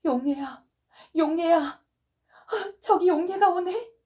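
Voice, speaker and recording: A woman's voice: very high-pitched, slow pace, warm tone, pitched above the performer's own voice. A woman voicing a grief-crazed mother who wails and sobs, calling for her lost daughter. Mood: delirious calling. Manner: wailing, shrieking, sobbing. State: crying.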